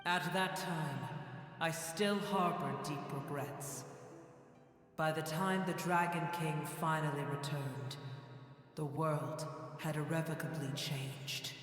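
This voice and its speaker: An actor playing an ancient, bitter dragon, telling its history in grave, solemn game dialogue. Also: male voice, fairly even intonation, takes it slowly, a bit bright. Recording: booming hall reverb with music. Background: game music.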